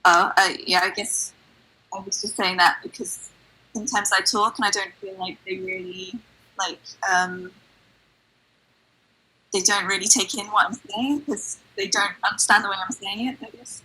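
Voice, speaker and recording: A woman's voice: medium pitch, steady tone, normal speed, sharp tone, husky, low-quality recording. A woman with a London English accent, chatting candidly and a little hesitantly. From London, England. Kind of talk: candid casual chat.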